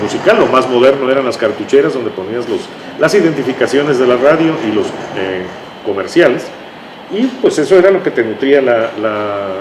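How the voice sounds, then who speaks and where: Older male, Mexican accent, mid-pitched, conversational interview guest with halting explanations; roomy, noisy recording. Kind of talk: conversational reminiscing. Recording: echoey room with clear background noise.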